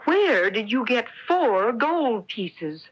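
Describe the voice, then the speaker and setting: A woman's voice: a little high, big pitch swings, takes it slowly, husky, highs cut off, heavily acted. Lilting, motherly storybook lady. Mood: gently admonishing. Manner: tender, earnest, theatrical. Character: kindly, motherly storybook lady, tender but gently admonishing. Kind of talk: 1970s radio play acting. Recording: 1970s radio broadcast recording.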